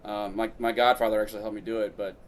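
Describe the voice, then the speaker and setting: A man's voice: lowish voice, little pitch movement, fast talker, a little hiss or hum. A man in his thirties from Brazil, a podcast guest telling his story in casual, rambling chat full of fillers and restarts.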